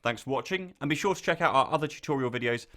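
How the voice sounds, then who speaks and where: A man reading a scripted software tutorial, giving clear step-by-step instructions as the narrator. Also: mid-range voice, quick pace.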